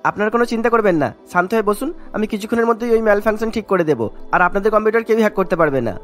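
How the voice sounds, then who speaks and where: A man voicing a short, boyish young software engineer in a cartoon, speaking politely with reassuring confidence. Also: high voice, rapid-fire, soft background music, close to an everyday voice. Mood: calmly confident. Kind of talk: cartoon character acting.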